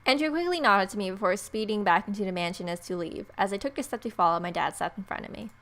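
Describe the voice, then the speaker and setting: A woman's voice: medium pitch, lively intonation, fast, a bit bright. Woman reading a visual novel aloud. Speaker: video game let's player.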